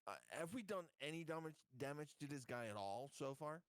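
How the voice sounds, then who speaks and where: Higher-pitched, lively man gamer. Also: a little fast, clear, resonant tone, no echo, clean studio sound. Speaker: player in a tabletop game. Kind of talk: casual chat.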